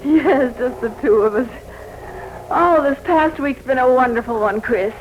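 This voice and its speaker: An actress playing a devoted, clinging wife in a 1940s radio drama, with emotional, melodramatic, breathless delivery. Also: female voice, high-pitched, a little slow, muffled recording. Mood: adoring, anxious. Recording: hissy 1940s radio recording.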